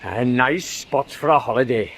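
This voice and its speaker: A man's voice: medium pitch, normal speed, fairly bright tone, a little hiss or hum, natural voice. An actor playing a devout, trusting navy man in a radio drama, earnest and reassuring. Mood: calm faith.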